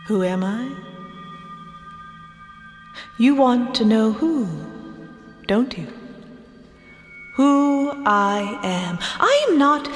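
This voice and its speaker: An actress playing a vengeful, grieving female ghost in a theatrical audio drama, slow, seductive and menacing. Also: on the high side, sing-song, full character voice. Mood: menacing, taunting. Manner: slow, dramatic, taunting to pleading. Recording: echoey hall-like reverb over music.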